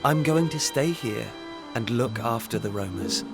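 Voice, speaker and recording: An actor playing an earnest, spirited young runaway prince turned guardian, in an expressive video game performance. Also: male voice, mid-range voice, a little slow. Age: young adult. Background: orchestral music.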